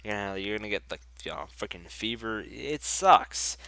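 A man's voice: fairly low pitch, animated, normal speed, vocal fry, sharp tone, dry recording. A young man with a stuffy, congested voice, casually rambling and swearing as he comments on his game. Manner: rambling, profane, chatty. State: congested from a cold. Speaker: gaming YouTuber. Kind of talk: casual commentary over gameplay.

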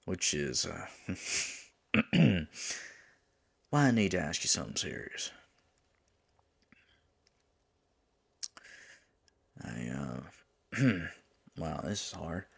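A man acts out a flirtatious male demon in an intimate ASMR roleplay, smug but smitten. His deep drawl crackles with fry and swings expressively as he teases, slipping into breathy murmurs. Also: big pitch swings, slow, long pauses, exaggerated voice. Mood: earnest, nervous.

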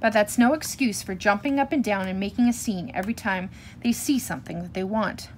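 A woman's voice: medium pitch, brisk, slight background noise, lightly acted. A woman voicing a proper adult mama bear in a storybook, firm and admonishing. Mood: reproving.

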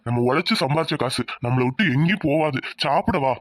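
A woman playing a gentle village husband. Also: low voice, animated, fairly quick, no echo, studio quality. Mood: reassuring. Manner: soothing, reassuring.

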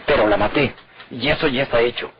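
An actor playing a cold, controlling Mexican killer in an old radionovela, terse and commanding. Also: male voice, mid-range voice, a little fast, close to an everyday voice. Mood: calculating. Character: cold, controlling male murderer on the run. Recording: noisy, muffled vintage radio recording.

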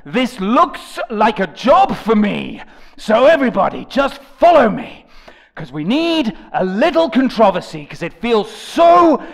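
A young man reading song lyrics as a theatrical dramatic performance, jumping between shouted lines and low mutters with a gravelly edge. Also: huge pitch swings, a little slow. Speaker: comedic dramatic reader of rap lyrics. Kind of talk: over-the-top dramatic reading.

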